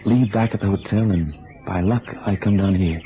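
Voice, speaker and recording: Through a noisy, muffled old radio recording, a man plays a restless streetwise investigator. His low voice is colloquial, like someone keeping watch and itching for action. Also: normal speed, close to an everyday voice. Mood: watchful, wry. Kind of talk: radio drama acting.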